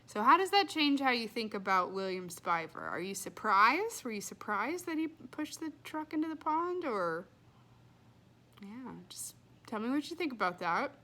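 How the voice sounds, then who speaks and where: A woman hosts a read-aloud for young listeners. Her voice sits a bit high and moves with lively, varied intonation, engaged in the telling. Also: normal speed. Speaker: read-aloud host and storyteller. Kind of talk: expressive children's book read-aloud.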